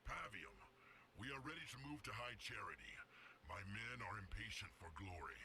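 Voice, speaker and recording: Gravelly, cocky alien brute. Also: male voice, on the low side, big pitch swings, moderate pace, crowd noise. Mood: impatient. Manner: swaggering, taunting. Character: reckless, cocky alien brute warrior, hungry for glory. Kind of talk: video game cutscene acting. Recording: very loud music underneath.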